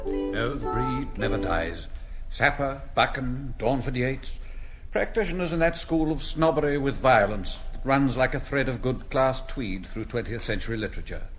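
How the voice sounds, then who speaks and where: An actor as a wry, very animated English gentleman. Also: medium pitch, moderate pace, soft background music, natural voice. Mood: arch, literary. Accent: English upper-class. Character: suave English schoolmaster playing a tweedy war veteran. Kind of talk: theatrical radio drama acting.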